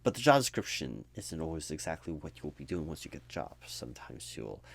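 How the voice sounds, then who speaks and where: A man doing a conversational ASMR roleplay as an apathetic, low-energy recruiter, rambling in a jaded, sardonic way. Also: big pitch swings, brisk, gravelly, dry recording. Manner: rambling, sardonic asides mimicking corporate talk.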